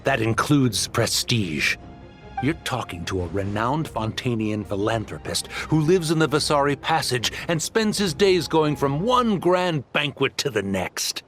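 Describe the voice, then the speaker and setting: A man's voice: mid-range voice, very animated, measured pace, fairly bright tone, faint music behind. A man playing a smug, greedy swindler turned socialite in a video game, with a taunting, theatrical delivery. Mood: haughty.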